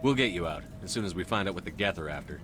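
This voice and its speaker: An actor playing a steady, businesslike military commander in a video game. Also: male voice, low voice, rapid-fire, loud music behind the voice. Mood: terse. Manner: terse, businesslike.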